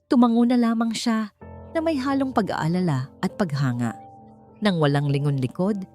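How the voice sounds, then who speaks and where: A woman's voice: lowish voice, a little mellow. A woman with a Filipino accent reading a dramatic scripted story as an audiobook narrator. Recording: music bed under the voice. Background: background music.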